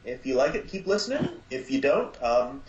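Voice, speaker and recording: A man appearing as a guest on a podcast, speaking casually and haltingly with lots of ums. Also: mid-range voice, sing-song, darkish tone, roomy sound, highs cut off, a little hiss or hum. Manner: halting, many ums, easygoing. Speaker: guest, audio drama producer. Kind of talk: casual chat.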